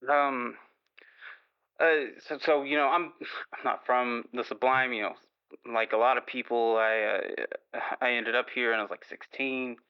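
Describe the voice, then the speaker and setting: A man playing a nervous, self-doubting baker calling a radio show in an improvised audio drama, halting, rambling and apologetic, on a slightly muffled line. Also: mid-range voice, fairly even intonation, clear, resonant tone, close to an everyday voice. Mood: hesitant, wistful. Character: nervous, self-doubting male baker who longs to be an artist.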